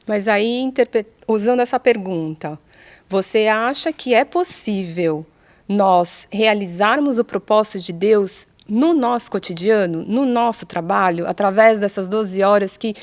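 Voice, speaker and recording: A woman hosting a casual podcast, upbeat and quick to steer the conversation with questions. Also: mid-range voice, darkish tone. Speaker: podcast host. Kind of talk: casual chat.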